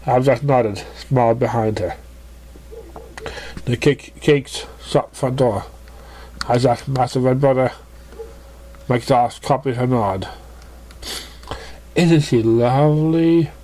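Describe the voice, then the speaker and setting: A man narrating a fan fiction story as an amateur reader, with halting, stumbling delivery. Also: medium pitch, a little mellow, a little hiss or hum.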